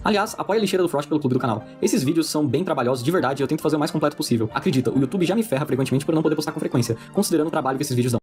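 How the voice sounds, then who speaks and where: A man's voice: a little high, racing, few pauses, a little mellow. A Brazilian man narrates a review at a breakneck pace in a slightly raised register. His delivery is scripted but casual and persuasive, with crude slang, and music plays faintly underneath. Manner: informal, sweary, persuasive. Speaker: video essayist.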